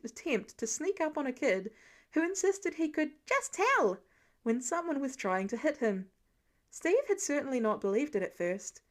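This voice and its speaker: A woman reading a story aloud as an audiobook narrator, expressive and scripted. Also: sits high.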